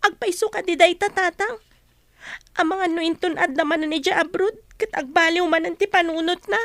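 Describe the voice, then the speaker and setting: A wounded teenage girl in a radio drama, very high and bright. Her delivery is plaintive and reproachful, in a close, dry sound. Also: moderate pace, dry recording. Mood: hurt, doubting. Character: hurt, resentful teenage daughter of a poor family.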